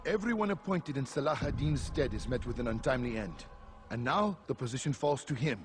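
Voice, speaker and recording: A man playing an indignant, weary city workman in a video game, speaking gravely and bitterly. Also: mid-range voice, lively intonation, brisk, lightly acted. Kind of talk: video game dramatic acting.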